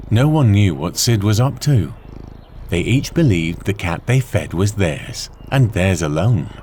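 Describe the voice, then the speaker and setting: A man reading a scripted children's story in a measured, expressive way, with deliberate pauses. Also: sits low. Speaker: storybook narrator.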